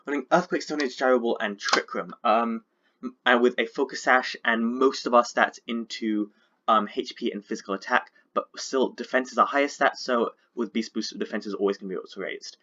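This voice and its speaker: A man's voice: mid-range voice, steady tone, normal speed, pushed and bright, dull audio. A man with a sore throat from being sick, chatting casually and rambling with lots of fillers as he breaks down his team. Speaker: competitive Pokemon league coach.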